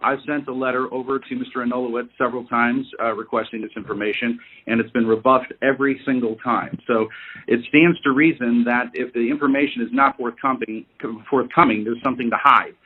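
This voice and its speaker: A man making a formal, lawyerly argument with deliberate wording on a conference call. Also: medium pitch, steady tone, husky. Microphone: telephone. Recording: tinny, narrow phone line.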